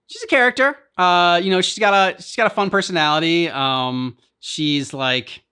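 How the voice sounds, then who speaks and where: A man's voice: high voice, moderate pace, bright, forceful tone, clear, resonant tone. A man co-hosting a reaction show, giving casual, rambling commentary as he thinks aloud.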